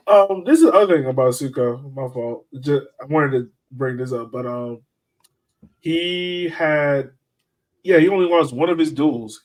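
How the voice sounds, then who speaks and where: Man, average pitch, conversational, emphatic, casual soccer analysis, podcast co-host. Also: rough recording.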